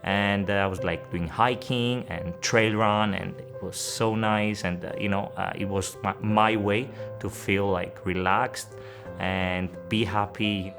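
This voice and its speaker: A man in his thirties with a Greek accent and a slightly low voice speaks in a relaxed, halting way. Clear music plays behind him in this documentary interview. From Greece. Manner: relaxed, hesitant with fillers. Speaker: doctor.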